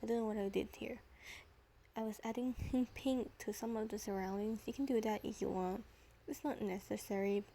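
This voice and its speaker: A woman's voice: medium pitch, normal speed, warm tone, slight background noise. A teenage girl narrating a drawing tutorial in a casual, rambling way, with hesitant restarts and chatty side stories. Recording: voice-over with clear background music. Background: background music.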